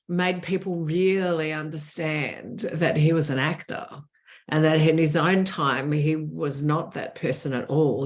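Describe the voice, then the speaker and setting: A woman talks in a lowish voice. She speaks earnestly and with emphasis, often doubling words to drive a point home. Her delivery is conversational, as a guest answering in an interview.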